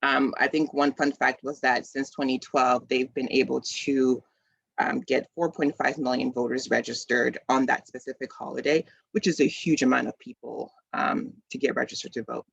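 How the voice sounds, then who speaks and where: A man from the Congo, in his thirties, talks through a community session over a video call, with a gravelly edge to his voice. He speaks casually and conversationally, with frequent ums and false starts. The call audio sounds dry and a bit dull. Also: medium pitch, fairly even intonation, moderate pace, a bit bright, dry recording. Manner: conversational, many ums and restarts. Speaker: community event host.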